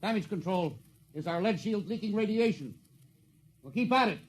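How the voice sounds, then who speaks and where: An actor playing an urgent, authoritative starship captain in a 1950s radio drama, barking clipped orders. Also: male voice, high voice, animated, moderate pace. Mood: demanding. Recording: noisy old radio broadcast recording.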